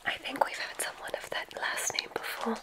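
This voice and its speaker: Whispering witch dean played by a woman. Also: close to an everyday voice. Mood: welcoming, theatrical. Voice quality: breathy whisper. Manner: genteel, unhurried, welcoming. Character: gracious, whimsical witch dean of a magic school. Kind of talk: ASMR medical roleplay.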